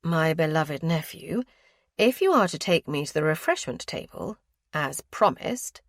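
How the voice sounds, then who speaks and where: A woman's voice: on the low side, expressive, moderate pace, dry recording, studio quality. A woman voicing a fussy older aristocratic aunt who is busy matchmaking, speaking in a genteel, coaxing way. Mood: teasing.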